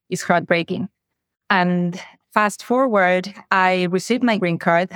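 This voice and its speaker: A woman's voice: lowish voice, smooth, no room sound. A woman from Mexico with a Mexican accent, a native Spanish speaker, telling her career story as a podcast guest in an earnest, reflective way. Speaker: guest, revenue operations director. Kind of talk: interview conversation.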